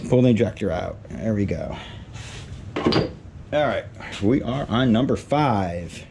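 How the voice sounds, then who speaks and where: A man's voice: fairly low pitch, animated, slow, vocal fry. A man giving a casual hands-on how-to as a DIY mechanic, speaking in short muttered steps while he works. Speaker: DIY mechanic demonstrating a repair test. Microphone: handheld camera moved around while working. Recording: clanking tools and workshop noise.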